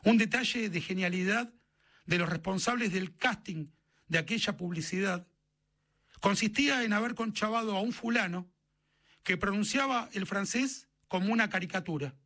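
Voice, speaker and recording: Bright, high-voiced Argentine male narrator. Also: moderate pace. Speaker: narrator reading a short story. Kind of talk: scripted literary narration.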